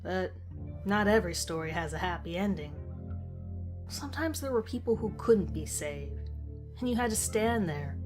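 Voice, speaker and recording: Woman narrating horror over prominent music. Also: medium pitch. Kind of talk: scripted narration of a creepy first-person story. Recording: music behind the voice.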